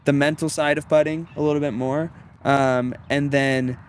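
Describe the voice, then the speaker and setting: A man's voice: on the high side, level tone, normal speed. A young man in his early twenties from Louisiana, talking in a slightly raised voice with an easygoing, rambling flow. Traffic noise hums faintly outdoors behind him. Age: 20s. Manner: easygoing, rambling, frequent "you know what I mean". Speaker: disc golf pro, interview guest. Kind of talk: casual interview chat.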